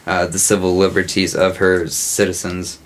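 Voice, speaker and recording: Male co-host, American accent, lowish voice, casual, hesitant, filler-heavy, opinionated. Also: monotone, moderate pace, low-quality recording. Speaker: podcast co-host. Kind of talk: casual political chat.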